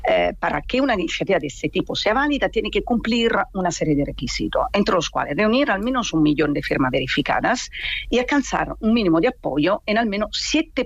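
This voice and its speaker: A woman's voice: on the low side, slightly rough, no echo. Italian woman, expert guest, lots of ehs and restarts, dry dull phone sound. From Italy. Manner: thoughtful, many fillers and restarts. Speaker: gender and rights expert guest. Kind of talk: interview by phone. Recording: muffled dry phone line.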